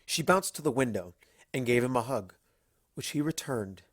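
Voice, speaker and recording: A woman's voice: very deep voice, lively intonation, moderate pace, dry recording, studio quality. A woman narrating a comic story as a reader, in a storytelling style. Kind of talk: lively narration of a comic fan fiction story.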